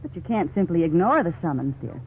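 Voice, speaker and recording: A woman's voice: fairly low pitch, very animated, a little fast, slight background noise. An actress playing a concerned, sensible middle-aged American wife and mother in a 1950s radio drama. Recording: tinny phone-like 1950s radio recording.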